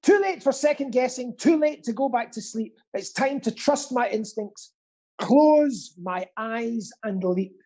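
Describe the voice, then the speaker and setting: Husky, mellow man, playfully camp. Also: very high voice, animated, unhurried. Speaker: quiz host. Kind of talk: live online quiz banter.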